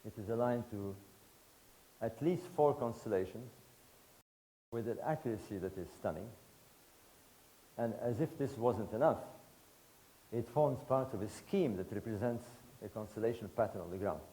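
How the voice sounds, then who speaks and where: A man in his sixties from Egypt, giving a public lecture to an audience in emphatic, rhetorical bursts of short phrases with pauses. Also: on the low side, warm tone, low-quality recording. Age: 60s.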